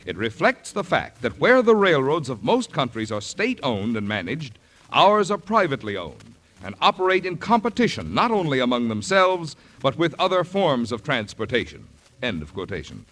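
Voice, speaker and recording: Man for a vintage 1940s radio announcement, formal scripted delivery with animated pitch swings. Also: normal speed. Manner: formal, declamatory.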